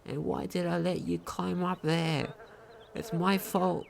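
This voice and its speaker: A game commentator with a creaky, mid-pitched voice over faint noise. The delivery is casual and unhurried, like someone reading on-screen text aloud, with occasional stumbles. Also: flat delivery. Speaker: gaming video commentator. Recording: home recording with faint noise.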